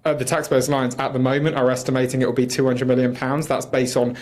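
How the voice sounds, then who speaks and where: British man, political interview guest. Very quick delivery, critical and emphatic, fluent arguer. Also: mid-range voice, level tone, a little mellow. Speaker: campaigner, studio guest.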